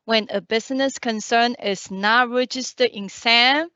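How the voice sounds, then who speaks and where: A woman's voice: fairly high pitch, a little slow, sharp tone, smooth, dry recording. A woman moderating a training webinar and reading out audience questions, hesitant with fillers. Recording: dry, muffled webinar audio.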